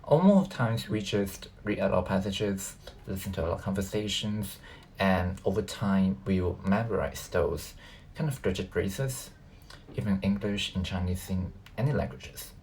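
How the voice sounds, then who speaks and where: A man who natively speaks Cantonese, giving casual reaction commentary as a video host, haltingly thinking aloud. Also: deep voice, darkish tone, rough recording.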